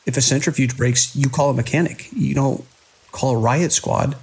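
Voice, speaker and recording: A man playing a weary, alarmed hospital director in an audio drama, speaking grave, deliberate log entries in clipped sentences. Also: mid-range voice, creaky, darkish tone, husky. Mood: angry, fed up. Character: weary, alarmed hospital director recording logs during an outbreak.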